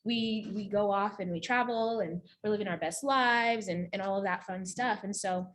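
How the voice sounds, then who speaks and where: A young woman from Uganda, speaking on a panel in a lively way and laughing often. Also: medium pitch, normal speed, slight background noise. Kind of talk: casual panel talk.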